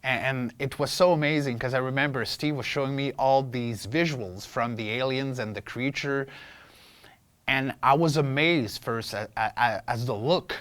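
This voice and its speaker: A man explaining his creature-voice craft in a making-of interview, haltingly, repeating words as he searches for the next. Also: mid-range voice, fairly bright tone. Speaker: vocal performer and creature-voice specialist.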